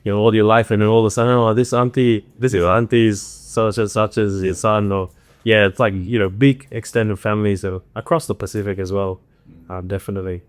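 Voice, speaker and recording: Smooth-voiced friendly podcast host man. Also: fairly low pitch, steady tone, brisk, no echo. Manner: friendly, affirming, casual slang. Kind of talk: casual interview chat.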